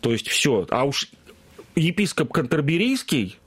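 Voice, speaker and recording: Lively man telling stories on a talk show. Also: a little high, measured pace, slightly rough. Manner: lively storytelling, many fillers and restarts. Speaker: producer of Orthodox projects, guest. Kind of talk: anecdotal interview talk.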